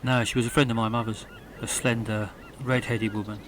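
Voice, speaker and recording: An actor playing a matter-of-fact castaway rocket builder in a comedy audio drama, speaking dryly. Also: male voice, mid-range voice, level tone, fairly quick, background noise, lightly acted. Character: matter-of-fact male castaway who built a homemade rocket.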